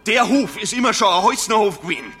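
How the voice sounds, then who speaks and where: An actor playing a stubborn, hot-tempered Bavarian farmer, indignant and insistent, in a radio drama. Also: male voice, sits high, lively intonation, fast talker, pushed and bright, faint music behind. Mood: defiant, aggrieved.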